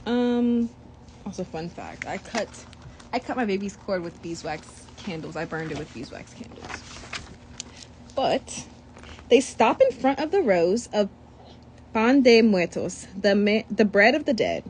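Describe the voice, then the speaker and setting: A woman's voice: mid-range voice, unhurried, slight background noise, poor audio. A young woman reading a children's picture book aloud, breaking off for chatty asides. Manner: reads with lively asides and commentary. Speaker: storybook reader.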